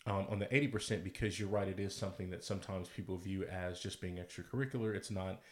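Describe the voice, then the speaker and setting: Deep-voiced man, friendly and measured. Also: steady tone, darkish tone. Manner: friendly, measured, with frequent fillers. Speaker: podcast co-host. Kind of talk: casual conversation about workplace groups.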